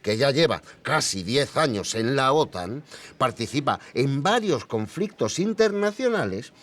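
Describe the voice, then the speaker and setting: A man's voice: mid-range voice, sing-song, unhurried, fairly bright tone. A man with an accent from Spain playing an academic historian, explaining reflectively with small questioning murmurs. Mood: reflective, explanatory. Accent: Spanish from Spain. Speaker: expert interviewee. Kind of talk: conversational expert interview.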